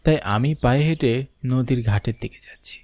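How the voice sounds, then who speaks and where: A man's voice: medium pitch, fairly quick, darkish tone, slight background noise, natural voice. A man voicing a young schoolmaster in a horror cartoon who also narrates the story, with an earnest, anxious manner. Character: young adult male schoolmaster, earnest nephew.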